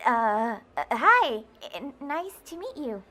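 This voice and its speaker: A woman's voice: on the high side, big pitch swings, takes it slowly, bright, forceful tone, heavily acted. A woman playing an awkward, sweet, easily flustered teenage girl who stammers, in an audio drama.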